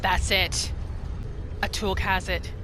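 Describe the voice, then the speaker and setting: A woman hosting a gaming roleplay series, telling the story in short phrases with dramatic pauses. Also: mid-range voice, little pitch movement, background noise. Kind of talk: storytelling gameplay narration.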